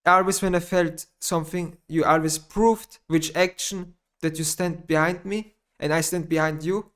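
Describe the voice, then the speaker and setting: A man speaking English with a German accent, his voice sitting a little high for a man. He talks off the cuff in a personal monologue, rambling, stumbling over words and repeating himself as he goes. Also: steady tone, a bit bright, smooth. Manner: rambling, halting, repeats phrases, frequent restarts. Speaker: gaming YouTuber.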